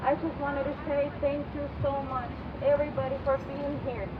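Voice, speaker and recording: Woman, high voice, earnest rally speech, street noise loud behind. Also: little pitch movement, normal speed. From El Salvador. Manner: earnest, plain phrasing. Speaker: rally speaker. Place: outdoor city street rally. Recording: muffled outdoor recording with traffic noise.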